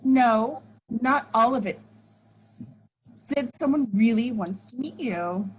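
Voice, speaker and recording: A woman's voice: on the high side, expressive, takes it slowly, a little hiss or hum, rough recording. A woman in an amateur table read playing a coolly threatening young woman villain in a horror story. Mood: cool, menacing.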